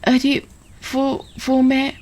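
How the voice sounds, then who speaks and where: A woman voicing a poor, selfless young elder sister in a cartoon story, gentle and hesitant. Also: high-pitched, completely flat delivery, slow pace, voice pushed up. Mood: pained, hesitant.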